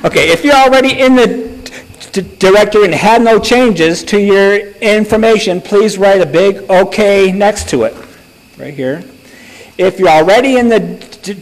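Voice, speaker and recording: A man's voice: high voice, moderate pace. A man giving casual, joking church announcements, chatty and self-deprecating. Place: church sanctuary. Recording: long church reverb.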